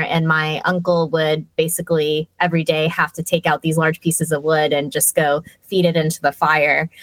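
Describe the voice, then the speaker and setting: Guest woman, chatty, detailed storyteller, lower voice, dry close sound. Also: dry recording, poor audio. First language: English. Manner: chatty, detailed, with light laughs and fillers. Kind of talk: casual storytelling in an interview.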